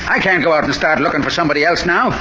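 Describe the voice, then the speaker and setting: An aging, desperate boxing manager who pleads like a father. He talks fast and bright, with a lively pitch. The sound is dull, like an old radio transcription. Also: a little hiss or hum. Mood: pleading. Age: older. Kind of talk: 1940s radio acting.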